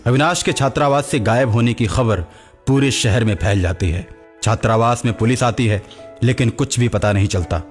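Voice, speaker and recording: Man for scripted story narration, medium pitch, with music playing behind. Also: moderate pace.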